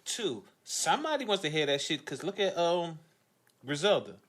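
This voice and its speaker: A middle-aged man debating casually as a podcast panelist, blunt and profane and quick to interject. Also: on the high side, big pitch swings, fairly bright tone.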